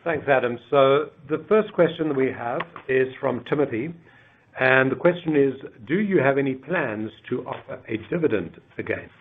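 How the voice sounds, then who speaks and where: A man's voice: medium pitch, normal speed. Male call moderator on a tinny, noisy line. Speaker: call moderator reading questions. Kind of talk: formal question reading. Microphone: conference call line. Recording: tinny phone line with clear noise.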